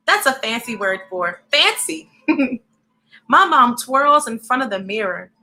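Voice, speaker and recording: An animated, encouraging woman hosting a storybook read-aloud, speaking directly to children in an expressive way. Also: fairly high pitch, a little slow, low-quality recording.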